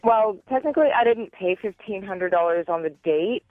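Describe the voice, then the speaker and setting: A woman's voice: medium pitch, moderate pace, no echo. A woman phoning in to a radio show, casually explaining her personal story over a telephone line with some hedging. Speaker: phone-in guest on a radio show.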